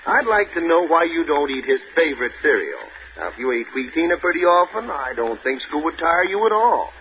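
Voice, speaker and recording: A man's voice: fairly high pitch, sing-song, a little fast, slight background noise. A man playing a folksy, emphatic announcer in the style of 1930s radio advertising. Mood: friendly persuasion. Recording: muffled 1930s radio recording, music behind.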